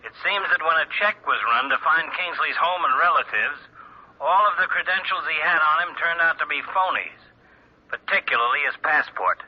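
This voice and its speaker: A cautious police lieutenant. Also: male voice, fairly high pitch, lively intonation, normal speed. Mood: guarded. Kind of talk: 1950s radio drama acting. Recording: dull lo-fi old radio broadcast with noise.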